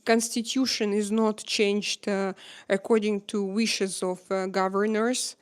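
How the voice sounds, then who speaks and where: Non-native woman on a livestream, talks slow, lots of uhs, smooth clean voice. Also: medium pitch, level tone. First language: not English. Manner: hesitant, many filler pauses, searching for words. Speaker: livestream host chatting with viewers. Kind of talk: unscripted live chat. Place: home in Spain.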